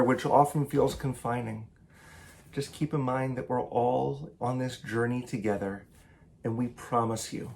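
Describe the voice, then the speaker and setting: A man, a children's author, reading a picture book aloud in an animated, theatrical and emphatic way. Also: mid-range voice. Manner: theatrical, emphatic, with varied delivery.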